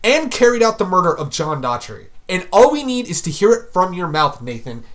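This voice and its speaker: A man acts out a police detective in an audio drama interrogation. His voice sits a little high for a man. He is relentless and accusing, quick to anger, and bears down with pointed questions. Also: sing-song, fairly bright tone. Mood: angry, accusing. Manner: pointed, pressing, repeats the suspect's name.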